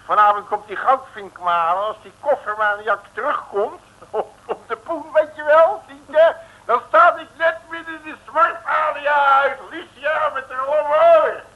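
An actor playing a brash, wisecracking detective with blunt slang in a comic radio serial. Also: male voice, very high-pitched, moderate pace, hardly any pauses, highs cut off. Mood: defiant, flippant. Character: brash, streetwise Dutch detective, blustery and wisecracking. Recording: old 1950s radio recording.

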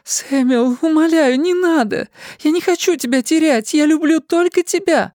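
A man's voice: moderate pace, a little mellow, dry recording, clean studio sound. A man voicing a tearful pleading wife. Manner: tearful, pleading, high and strained. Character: distraught pleading young wife, sobbing.

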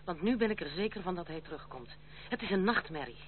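A woman's voice: lowish voice, lively intonation, fast, lightly acted. An actress playing a proud, stern young woman in a Dutch radio drama, speaking formally and resolutely. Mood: uneasy. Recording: tinny, noisy old radio play recording.

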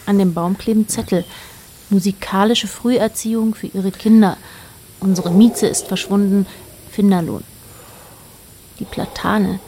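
A woman narrating a literary radio play in a measured, matter-of-fact way. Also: medium pitch, creaky, warm tone, a little hiss or hum.

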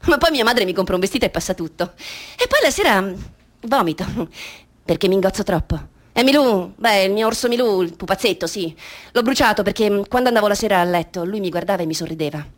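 A woman's voice: mid-range voice, expressive, fast talker, natural voice. Precocious, defiant young girl played by a woman. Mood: melancholy, brittle. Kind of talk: theatrical radio monologue.

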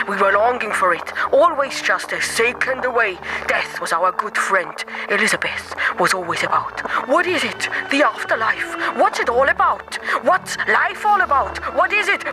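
High-voiced, intense riding teacher. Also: male voice, animated, moderate pace, few pauses, background noise. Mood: intense, existential. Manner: emphatic, clipped, repetitive phrases. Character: British riding teacher, intense, defensive, death-obsessed sportsman. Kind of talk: mock live interview in radio play.

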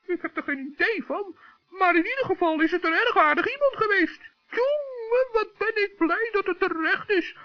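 A little forest gnome talks in an extremely high, piping voice. The delivery is childlike, crafty and theatrical. It sounds dull and lo-fi, like a 1960s children's radio play. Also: expressive, moderate pace. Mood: feigned innocence. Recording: dull lo-fi old radio recording.

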